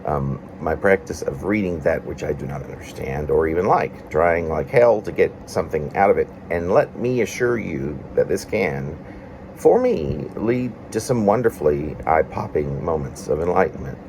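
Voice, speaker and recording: A man reading his own reflective, personal writing in a meandering, halting way, with pauses between phrases. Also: rumbling low, expressive, background noise. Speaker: poet reading own work.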